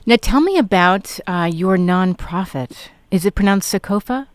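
A radio interview host asking questions and offering short, warm, encouraging reactions. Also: mid-range voice, animated, normal speed, a crowd in the background.

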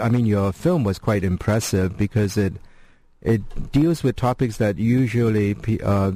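Low mellow-voiced male radio host. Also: dry recording. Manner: halting, many fillers and restarts. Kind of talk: college radio interview.

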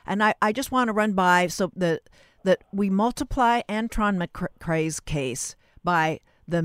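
A woman's voice: lowish voice, smooth, dry recording, clean studio sound. A woman hosting an interview in a conversational style, discursive and often rephrasing her sentences mid-thought. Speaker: interview host.

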